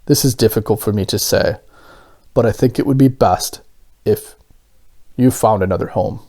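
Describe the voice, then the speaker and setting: A man voicing a mild, kindly, hesitant grown man in a storybook, with gentle, drawn-out phrasing. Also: fairly low pitch. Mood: sorry, gentle.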